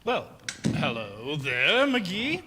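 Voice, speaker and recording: A man's voice: a little high, huge pitch swings, extremely slow, exaggerated voice. A man playing hearty, pompous characters in an old-time radio comedy, emphatic and theatrical. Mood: pompous, indignant. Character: hearty announcer and pompous, indignant neighbor. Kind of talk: 1940s radio comedy acting. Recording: roomy hall reverb, noisy background.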